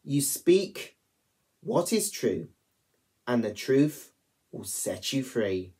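Slow, expressive young man. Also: mid-range voice. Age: young adult. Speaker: prayer leader at online church worship. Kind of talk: reading prayers aloud. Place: small attic room at home.

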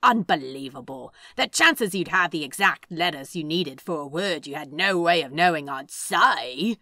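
A woman's voice: low voice, lively intonation, moderate pace, bright, forceful tone, no echo, clean studio sound, heavily acted. A woman voicing a teasing, sardonic male demon in a fan fiction reading, with a sly, casual drawl. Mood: incredulous.

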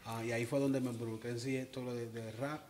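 Soft-spoken Puerto Rican man, hesitant. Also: mid-range voice, level tone, fast talker, slight background noise. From Springfield, Massachusetts, of Puerto Rican parents. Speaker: guest, music producer. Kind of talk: personal storytelling in interview. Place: purple-lit indoor studio set.

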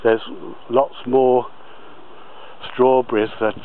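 A man giving a slow, halting outdoor tour of his allotment plot, with many pauses and fillers. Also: mid-range voice, flat delivery, phone-quality sound, slight background noise. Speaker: allotment gardener.

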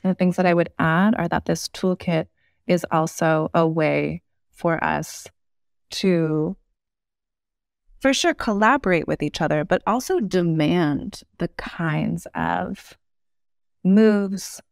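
A woman in her thirties explaining ideas as an interview guest, articulate and measured, with polished professional phrasing. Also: lowish voice, vocal fry, dry recording, clean studio sound. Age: 30s. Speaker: podcast guest.

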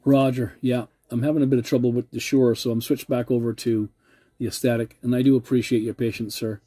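A man's voice: medium pitch, level tone, brisk, dark tone. A man making a CB radio contact, polite and using radio procedure words. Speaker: CB radio operator.

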